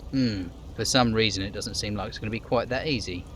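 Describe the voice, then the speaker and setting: An awkward, inquisitive game detective, voiced by a man. His voice sits fairly low and he speaks in a matter-of-fact, slightly hesitant way, with some noise behind him. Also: brisk, a bit bright, lightly acted. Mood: sceptical. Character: male police detective, inquisitive and awkwardly evasive.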